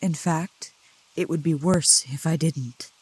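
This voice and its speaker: A woman playing a seductive, protective demon in a soft ASMR roleplay, speaking slowly and coaxingly in a low, gentle voice. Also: slightly rough, heavily acted. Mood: teasing, seductive. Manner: slow, deliberate, coaxing, with pet names. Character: seductive, protective female demon, soothing yet scornful of cultists. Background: rain.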